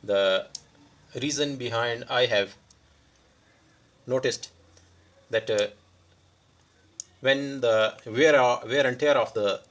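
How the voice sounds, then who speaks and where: A man who is a non-native English speaker gives an unscripted hands-on repair demonstration, pausing mid-sentence in short phrases. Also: medium pitch, fairly bright tone. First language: not English. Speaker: electronics repair tutorial host.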